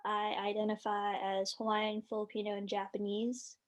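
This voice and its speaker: A woman's voice: mid-range voice, monotone, normal speed. A young woman introducing her student project in a casual way, often saying "like". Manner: casual, frequent "like". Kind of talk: self-introduction.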